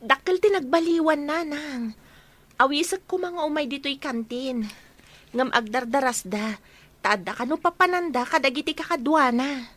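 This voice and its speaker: A woman's voice: high voice, moderate pace, a bit bright. A woman playing a chatty, teasing young girl in radio drama dialogue. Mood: excited, chatty.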